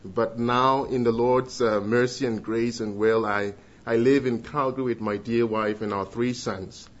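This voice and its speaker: A man's voice: medium pitch, steady tone. Ghanaian man, middle-aged, earnest church testimony, muffled recording. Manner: earnest, with filled pauses and restarts. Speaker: guest speaker giving testimony.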